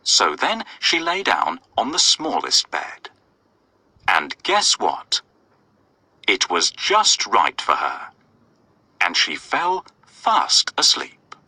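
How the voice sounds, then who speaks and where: Very animated, bright-voiced male storyteller. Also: fairly low pitch, slow pace, husky, no room sound. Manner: expressive, addresses young listeners with questions. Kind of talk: scripted children's story narration.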